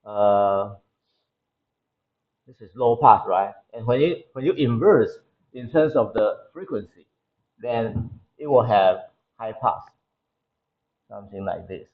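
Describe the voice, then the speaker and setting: A man with a Taiwanese accent lecturing to a class, explaining technical material haltingly and often repeating his phrases. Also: on the low side, lively intonation, phone-quality sound. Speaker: university lecturer. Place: indoor classroom.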